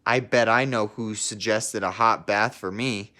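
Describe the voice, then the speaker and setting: A man's voice: on the low side, steady tone, unhurried, bright, forceful tone. A man voicing a plucky, earnest little helicopter character in a children's storybook read-aloud. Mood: pleased. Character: plucky little male helicopter, earnest, eager, good-humoured.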